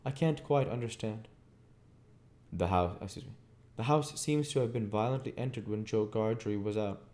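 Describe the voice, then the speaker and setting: Man voicing theatrical Mister Wopsle, pitched up. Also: normal speed, darkish tone, close to an everyday voice. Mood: alarmed. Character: pompous theatrical village gentleman Mister Wopsle. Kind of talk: storybook character voice.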